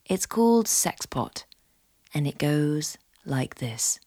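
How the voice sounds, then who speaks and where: Low-voiced woman narrating, very dry sound. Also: big pitch swings, takes it slowly, warm tone, breathy edge, no echo. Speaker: podcast host. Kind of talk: scripted narration with poetry reading.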